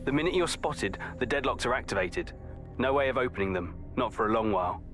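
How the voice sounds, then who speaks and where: A man's voice: medium pitch, expressive, brisk, music in the background, lightly acted. An actor playing a determined, headstrong young male assassin leaving an earnest briefing in a video game audio log. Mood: concerned, earnest.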